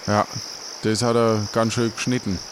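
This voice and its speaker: A man's voice: lowish voice, flat delivery, moderate pace, noisy background. A man playing and narrating in a casual improvised adventure audio play, thinking aloud and repeating himself.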